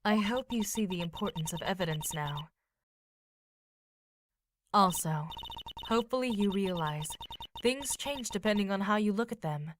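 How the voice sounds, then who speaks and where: A woman playing a composed, encouraging female mentor lawyer in an amateur video game fandub, measured and reassuring. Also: mid-range voice, lively intonation, lightly acted. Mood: earnest.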